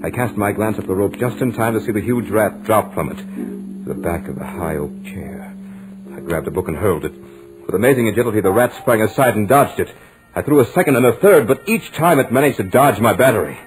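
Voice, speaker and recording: An actor playing a studious, stubborn young lodger with dry humour, in measured, dramatic 1940s radio horror style. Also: male voice, on the low side, expressive, close to an everyday voice, rough recording. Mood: excited. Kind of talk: 1940s radio horror acting, first-person narration. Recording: old radio drama recording with music.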